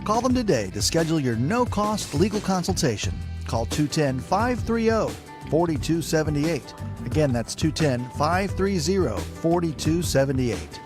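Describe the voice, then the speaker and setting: Animated American male ad announcer over music. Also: fairly high pitch, normal speed. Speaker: advertisement announcer. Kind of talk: scripted radio commercial read. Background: music bed.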